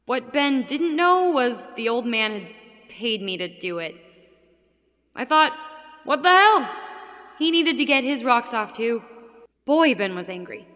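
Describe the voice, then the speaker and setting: A woman's voice: on the high side, big pitch swings, unhurried, long pauses, a bit bright, big-room sound, lo-fi sound. A woman playing a scheming, jealous wife in a horror audio drama, with a hard-edged, sardonic delivery. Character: scheming, jealous wife narrating her dark confession. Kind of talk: audio drama narration and dialogue.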